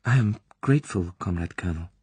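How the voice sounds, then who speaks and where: A man's voice: lowish voice, big pitch swings, measured pace, creaky, darkish tone, slightly rough, no room sound, full character voice. A man voicing a wary, guileless young woman corporal who speaks in a meek, hesitant way.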